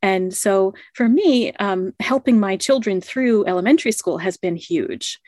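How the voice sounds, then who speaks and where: A woman's voice: medium pitch, a little slow, darkish tone, no echo, clean studio sound. Woman telling a story, laughing often. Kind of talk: casual conversation. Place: lives in Japan.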